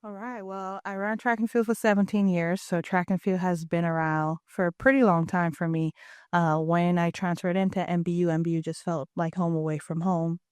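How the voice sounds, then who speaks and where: A young woman from the Bahamas with a Bahamian accent, a track coach answering interview questions in an earnest, steady way. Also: lowish voice, moderate pace, smooth, no echo, studio quality. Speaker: head track and field coach, guest.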